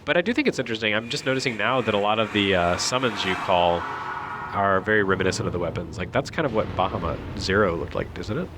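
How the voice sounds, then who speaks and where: Low-voiced, dryly sarcastic man over noise. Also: fast talker. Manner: dry, sarcastic humour. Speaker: commentator. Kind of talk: casual joking commentary on video game cutscenes.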